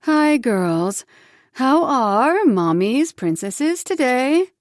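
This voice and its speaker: A woman's voice: high-pitched, sing-song, very slow, no echo, studio quality, pitched above the performer's own voice, heavily acted. A woman voicing a cold, condescending ex-wife with a clipped, icy way of speaking, in an audiobook.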